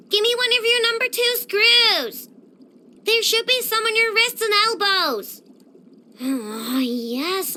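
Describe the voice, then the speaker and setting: Casting: a tiny girl tinkerer for a game, very high and bright, childish, dramatic, bossy. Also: full character voice. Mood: bossy, fussing. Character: excitable, sweet-toothed little girl robot inventor. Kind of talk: video game character voice lines.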